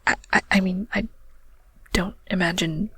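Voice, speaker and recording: A woman playing a shy, friendly female ghost of the underworld in an intimate ASMR audio roleplay, soft and whispery, with hesitant, stammering, gently awkward speech. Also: fairly low pitch, fairly even intonation, normal speed, breathy edge, dry recording, clean studio sound, pitched below the performer's own voice. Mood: warm, interested.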